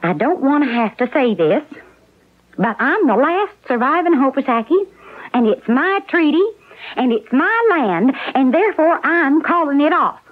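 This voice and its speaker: Earnest young wife, girlish, pleading, very expressive pitch, 1970s radio drama, tinny narrow sound. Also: normal speed. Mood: pleading, firm.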